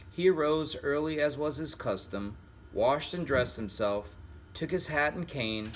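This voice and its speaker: A man reading a children's book aloud in a deliberate, teacherly way. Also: medium pitch, slight background noise. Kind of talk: read-aloud storytelling with vocabulary and questions.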